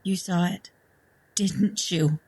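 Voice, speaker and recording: A woman's voice: on the low side, steady tone, slow, darkish tone, breathy edge. A woman playing a frightened, insistent wife with an eerie obsession in a horror story. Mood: shaken.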